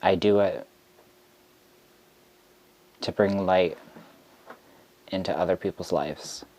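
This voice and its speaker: A man who reads tarot, speaking straight to camera in a frustrated rant, deliberate and halting with frequent ums. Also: sits low, fairly even intonation, darkish tone, slight background noise, low-quality recording. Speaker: tarot reader.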